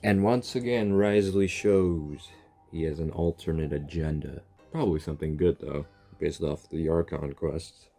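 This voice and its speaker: A man's voice: rumbling low, moderate pace, warm tone, soft background music. A man giving casual reaction commentary over gameplay, teasingly talking back to the characters. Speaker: gaming video creator.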